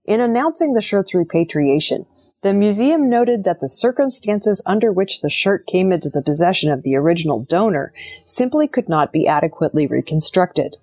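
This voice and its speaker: A woman with a lowish, husky voice reads prepared news items. She narrates in a scripted news style, with a roughened edge to her tone. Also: big pitch swings, normal speed, darkish tone. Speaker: news reader.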